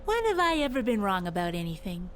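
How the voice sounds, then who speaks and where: A woman's voice: mid-range voice, very animated, quick pace, fairly bright tone, slight background noise. A woman playing a brilliant, self-assured inventor with dry humour in a radio adventure serial. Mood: dry teasing.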